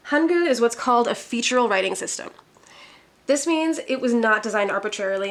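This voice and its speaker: A woman from Minnesota teaching a Korean lesson in an upbeat, friendly tone. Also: on the high side. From Minnesota, USA. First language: English. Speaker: Korean tutor. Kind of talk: scripted educational narration.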